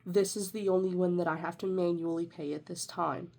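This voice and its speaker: A woman's voice: on the low side, steady tone, a little fast, vocal fry, darkish tone. A young woman with a congested, nasal voice from a sinus infection, chatting casually as she walks through her budget planner. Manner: chatty, rambling with fillers and self-corrections. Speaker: budgeting vlogger.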